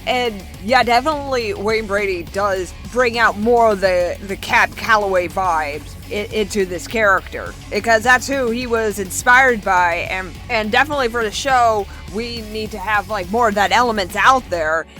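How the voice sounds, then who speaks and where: A young woman vlogger chatting casually as she reads out and answers viewer comments, in a chatty, excitable manner. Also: mid-range voice, normal speed, few pauses, soft background music.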